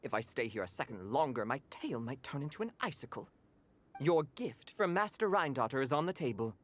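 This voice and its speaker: A man's voice: medium pitch, very animated, fairly quick, fairly bright tone, a little hiss or hum. A man voicing a fussy, loyal cat butler in a video game, with a haughty, grumbling manner. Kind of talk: video game character acting.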